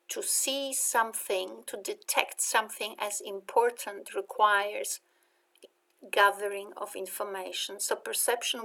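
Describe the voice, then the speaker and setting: Polish accent woman teaching a uni lecture, slow careful talk, lots of "right?". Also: mid-range voice, gravelly. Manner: slow and deliberate, rhetorical questions. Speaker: university lecturer. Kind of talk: recorded lecture.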